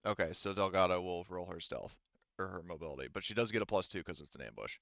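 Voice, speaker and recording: A man's voice: low-pitched, quick pace, creaky, a bit bright, no room sound. A man acting as game master, talking casually and thinking aloud hesitantly over a slightly dull, dry online voice chat. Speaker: game master of a tabletop roleplaying game.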